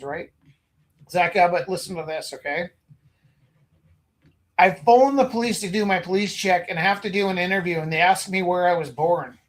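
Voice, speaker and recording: Guy, pretty high voice, rambly storyteller, acts out what people said. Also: poor audio. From Alberta, Canada. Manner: rambling, animated anecdotes with acted quotes. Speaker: art gallery owner and teacher. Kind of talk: casual storytelling chat.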